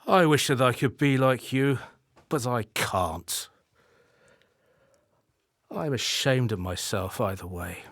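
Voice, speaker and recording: A man's voice: medium pitch, slow pace, pauses often, fairly bright tone, no room sound, clean studio sound. A man playing a weak, disillusioned, self-loathing husband in a radio play, rueful and full of self-pity. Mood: ashamed, rueful.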